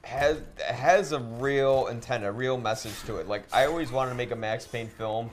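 Male podcast co-host, mid-pitched, earnest and argumentative in casual discussion, light room noise behind. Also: fairly even intonation, normal speed. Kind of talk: casual debate.

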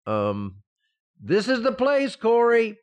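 An actor playing a naive, excitable expectant husband in an old-time radio sitcom, earnest and flustered. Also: male voice, high voice, slow pace, heavily acted.

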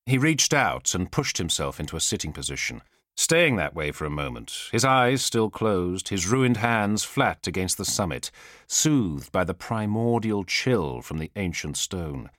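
Deep-voiced man narrating a dramatic audiobook. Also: big pitch swings, fairly bright tone, no echo. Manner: measured, solemn, suspenseful delivery with weighted pauses.

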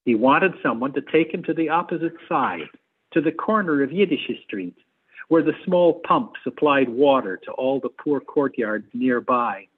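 A man, likely middle-aged, speaking as an interview guest in a measured, hesitant way, picking his words. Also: medium pitch, lively intonation, slightly rough, phone-quality sound. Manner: hesitant, measured, repeats words while choosing them. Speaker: theatre adapter and actor, guest. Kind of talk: interview conversation.

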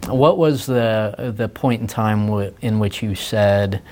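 A man's voice: fairly low pitch, takes it slowly, a little mellow. A man hosting a casual interview, easygoing, laughing often and asking follow-up questions. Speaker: interview host.

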